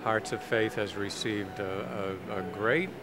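An older man hosting a gospel music show, asking questions in a halting way with many fillers, on a handheld mic at a convention. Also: fairly low pitch, fairly even intonation. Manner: hesitant, with repeated filler words. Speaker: host. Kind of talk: interviewer. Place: convention hall interview booth. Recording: noisy convention hall.

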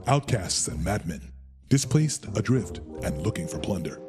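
An actor playing a calm, calculating male gang adviser in a video game, speaking formally and deliberately. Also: low voice, over music. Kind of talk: video game cutscene acting.

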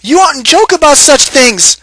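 A man putting on a shrill old countrywoman. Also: sing-song, unhurried, bright, forceful tone, dry recording, full character voice. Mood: scolding. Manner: high, shrill, exclaiming. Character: flustered old Irish countrywoman, wife.

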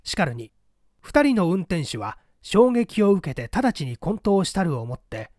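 A man voicing a young detective's stern written report in a formal, declamatory, deliberate manner. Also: high voice, big pitch swings, a little mellow, dry recording, studio quality.

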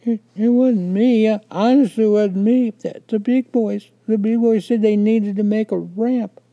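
A man's voice: a little high, lively intonation, takes it slowly, warm tone, no echo, highs cut off, voice pushed up. A man voicing an anxious, earnest small boy who whines, stammers and pleads in a storybook tale. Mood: tearful, distraught.

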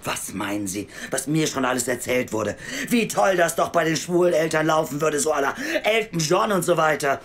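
An actress playing a middle-aged female youth welfare official in an audio drama, weary, scornful and blunt, with a deep voice and a lecturing, sardonic manner. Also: expressive, normal speed, hardly any pauses. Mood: scornful tirade. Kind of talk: naturalistic audio drama acting.

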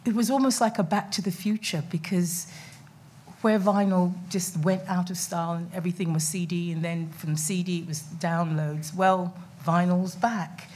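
A middle-aged woman with a fairly low voice speaks into a table mic at a festival panel. She talks in a chatty, rambling way, full of fillers and restarts. The sound carries the feel of a conference room with an audience. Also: fairly even intonation, normal speed, darkish tone. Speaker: panel speaker, documentary maker. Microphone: table mic on stand.

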